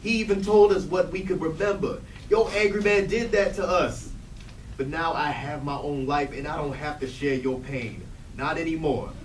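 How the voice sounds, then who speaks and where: A man's voice: fairly high pitch, moderate pace, natural voice. A man playing a wounded but resolute grown son, speaking earnestly and emphatically in a dramatic stage reading. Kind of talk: stage readers theatre acting. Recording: echoey room, distant mic, background noise.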